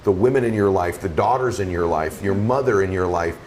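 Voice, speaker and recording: A deep-voiced middle-aged man hosting a podcast, talking with eager, effusive energy. His low voice carries over a faint hiss of background noise. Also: fairly quick. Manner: effusive, animated, heaps on praise. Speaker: podcast host. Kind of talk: enthusiastic interview chat.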